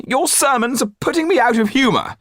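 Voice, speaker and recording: A man's voice: extremely high pitch, animated, fairly quick, fairly bright tone, no room sound, clean studio sound, pitched above the performer's own voice, full character voice. A man voicing a callous, pompous French marquis in an audiobook, speaking with drawling disdain and dismissiveness. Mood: indignant.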